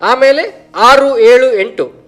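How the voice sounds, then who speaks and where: Puppet show friend, played by a man: high-voiced, earnest, formal explainer in scripted dialogue. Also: slow pace, fairly bright tone, faint music behind, heavily acted. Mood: explanatory.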